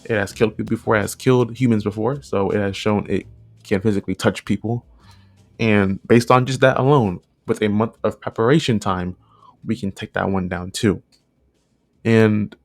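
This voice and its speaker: A man's voice: on the low side, moderate pace, a little mellow, soft background music. A man giving casual, unscripted commentary, rambling along and often repeating and rephrasing himself. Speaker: video creator, narrator.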